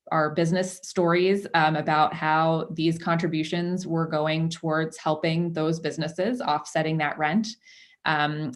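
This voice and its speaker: Woman, board chair, slightly low pitch, delivering a prepared update over a video conference. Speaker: outgoing chair of a market board. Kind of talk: prepared presentation at a public meeting.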